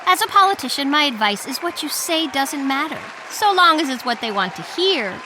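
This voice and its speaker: A high, echoing voice rings out over a noisy crowd: a grandiose female politician. She declaims as if from a podium in an audio comedy, repeating her final words through a PA echo. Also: sing-song, moderate pace, recorded from a distance. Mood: self-assured. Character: grandiose female small-town politician giving public speeches.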